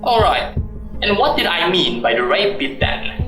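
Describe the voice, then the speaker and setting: A woman as sardonic, animated accused executive, roomy sound. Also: lowish voice, measured pace, soft background music. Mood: indignant. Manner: sarcastic, wordy, pompous. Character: indignant, sardonic, learned male executive accused of misconduct. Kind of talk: radio drama acting.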